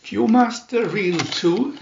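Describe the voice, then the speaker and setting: A man narrating a children's story in a deliberate, expressive read-aloud manner. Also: sits high, vocal fry, slight background noise. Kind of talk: scripted children's story reading. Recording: roomy, echoey, dull sound.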